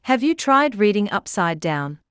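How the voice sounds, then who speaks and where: A woman voicing a witty, playful elephant in scripted children's comedy, chatty and teasing with clear wording. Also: mid-range voice, sing-song, a little slow, music in the background. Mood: playful, coaxing. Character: witty, playful talking elephant who gives reading tips.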